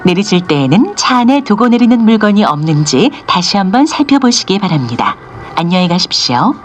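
A woman's voice: mid-range voice, very animated, brisk, slight background noise. A woman reading formal, polite train station announcements in Korean, played over a train's speaker. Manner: formal, polite, evenly paced. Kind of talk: recorded transit announcement. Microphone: recorded on a handheld device inside the train. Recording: onboard speaker with chimes.

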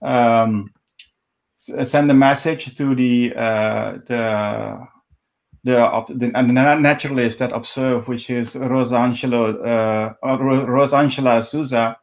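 Man, middle-aged, Dutch accent, native Dutch speaker, halting delivery, informal online walkthrough. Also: mid-range voice, fairly even intonation, gravelly. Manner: halting, repeats words, restarts often. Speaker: live stream presenter. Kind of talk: informal screen-share walkthrough. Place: indoors at home, on a video call. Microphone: video call microphone.

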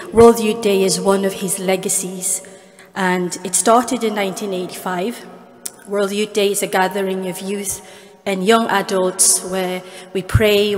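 A woman with a Filipino accent gives an earnest speech from a podium in a large cathedral, her voice trailing off into a long reverb. Her pitch stays fairly level and unvaried, and faint music sits low in the background. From Philippines. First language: Tagalog. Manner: earnest, with small restarts and fillers. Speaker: guest speaker at an award ceremony. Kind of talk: prepared speech with personal stories. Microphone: podium microphone, far camera.

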